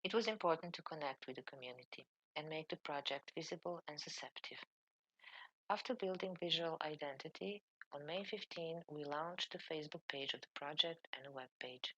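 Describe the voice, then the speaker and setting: A woman from Serbia, a librarian, reading a formal conference presentation in a measured way. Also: low-pitched, sharp tone, dry recording, muffled recording.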